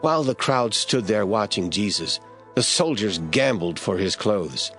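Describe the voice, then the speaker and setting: A man narrating scripture in a radio drama, reading in a steady, measured way. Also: lowish voice, soft background music.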